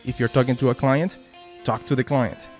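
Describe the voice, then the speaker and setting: A man with a Spanish accent speaks at an ordinary mid pitch, leading a team meeting over a video call. He talks in halting bursts, repeating words and tagging "right?" onto his points. Faint music plays underneath. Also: fairly even intonation, darkish tone. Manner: halting, many restarts and "right?" tags. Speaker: team lead giving a motivational talk. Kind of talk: informal motivational talk at a work meeting.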